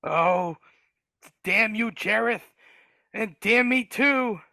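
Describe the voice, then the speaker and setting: A woman's voice: fairly high pitch, drawn-out words, gravelly, pushed and bright, no room sound, clean studio sound, full character voice. A woman voicing a timid, guilt-ridden male dwarf in a live reading, speaking hesitantly. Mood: sheepish. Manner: hesitant, mumbling.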